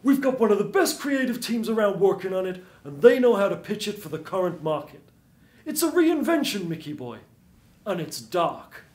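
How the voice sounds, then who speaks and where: A pushy old showman of a Hollywood agent, acted by a male reader, pitches grandly in a raised, brash voice. It is noir storytelling in a roomy space. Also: big pitch swings, normal speed, darkish tone. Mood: hyping. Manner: brash, grandiose sales patter. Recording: roomy stage sound.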